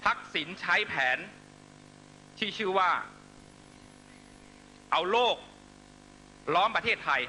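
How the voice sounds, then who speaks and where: A middle-aged man giving an impassioned political rally speech in emphatic, short measured phrases into a stage microphone. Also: sits high, sharp tone. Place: large night-time outdoor rally stage. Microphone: stand microphone on stage. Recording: noisy live rally public-address broadcast with hum.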